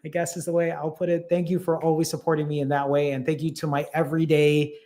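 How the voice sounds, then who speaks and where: A young man hosting a fasting coaching livestream who reads viewer comments aloud and encourages them in a direct, casual way. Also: a little high, flat delivery, warm tone.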